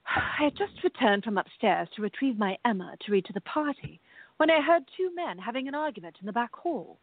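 A woman's voice: mid-range voice, dry recording. A woman playing a genteel, tender lady novelist with formal period diction in a radio play. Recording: dull lo-fi, music behind.

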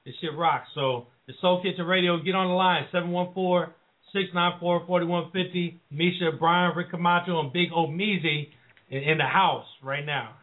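A man's voice: sits high, steady tone, normal speed. A man hosting an internet radio show, taking callers in loose, hyped-up chat. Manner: loose, hype announcer talk. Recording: phone-like thin audio.

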